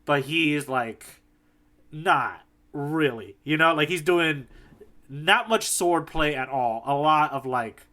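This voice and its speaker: A man with a slightly high, bright and forceful voice in a casual podcast chat. He co-hosts conversationally, paraphrasing in an animated way as he explains his points. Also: slow. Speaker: podcast co-host. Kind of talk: casual chat, manga discussion.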